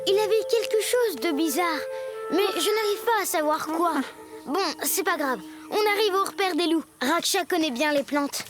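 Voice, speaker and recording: A woman's voice: extremely high pitch, moderate pace. A woman voicing a young jungle boy in a French cartoon dub, earnest and caring. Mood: concerned. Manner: earnest, concerned. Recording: music under the dialogue.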